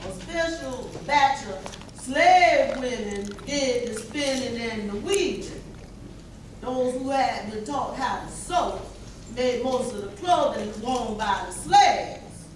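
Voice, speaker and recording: Woman acting old formerly enslaved woman, high pitch, unhurried stage recital, plainspoken, heavy echo. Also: animated. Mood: measured recollection. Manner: measured, deliberate phrasing. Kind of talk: readers theater monologue. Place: church hall stage, recorded from afar. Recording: distant, very echoey hall, faint noise.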